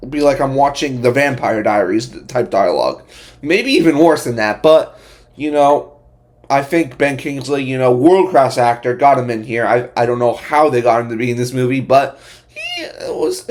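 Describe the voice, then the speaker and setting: A man hosting a casual movie review, speaking bluntly in a halting, repetitive way with frequent restarted phrases. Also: mid-range voice, big pitch swings, darkish tone. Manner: opinionated, blunt. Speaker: host of a movie review channel.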